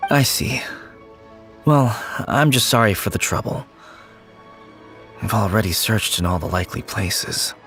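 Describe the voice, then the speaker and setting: A man's voice: on the low side, lively intonation, unhurried, vocal fry, long pauses, a bit bright, soft background music, exaggerated voice. A man voicing an anxious adult character haunted by memories of a childhood friend, speaking hesitantly. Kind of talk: video game voice acting.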